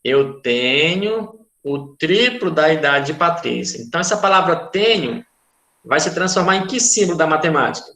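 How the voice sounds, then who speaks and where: A man's voice: fairly high pitch, expressive, normal speed, bright, forceful tone, roomy sound. A man with a Brazilian Portuguese accent, a math teacher explaining a word problem in an online class, patiently repeating and checking that students follow.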